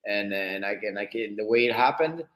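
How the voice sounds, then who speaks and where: A man on a group call giving halting encouragement, often repeating words as he restarts his sentences. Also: fairly low pitch, animated, fairly bright tone. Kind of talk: casual encouraging talk. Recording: echoey room.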